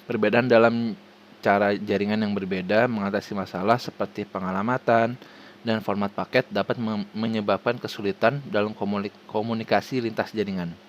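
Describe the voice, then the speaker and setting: A man with an Indonesian accent reading a formal scripted presentation haltingly, stumbling over words and restarting them. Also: on the low side, fairly even intonation, slight background noise. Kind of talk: scripted presentation read aloud.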